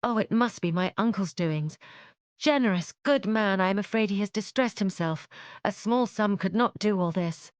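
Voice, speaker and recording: A woman voicing a quick, anxious young woman who speaks with urgent, pleading insistence. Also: medium pitch, lively intonation, dry recording, voice pushed up, lightly acted. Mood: grateful.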